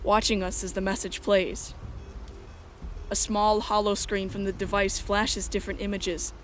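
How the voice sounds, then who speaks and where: Casting: female narrator, medium pitch, scripted first-person storytelling, light music underneath. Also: flat delivery, measured pace.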